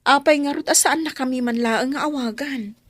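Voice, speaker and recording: A woman's voice: high-pitched, normal speed, dry recording, clean studio sound. A woman playing an anxious young wife and mother in an Ilocano Filipino radio drama, with music behind her. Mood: worried, polite.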